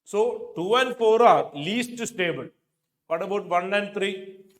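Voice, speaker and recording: A man's voice: high-pitched, expressive, slow pace. A middle-aged man with an Indian accent teaching an exam coaching class, repeating key phrases for emphasis. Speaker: chemistry teacher. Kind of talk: exam coaching lecture working through questions. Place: indoor teaching studio with a digital board. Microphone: lapel microphone.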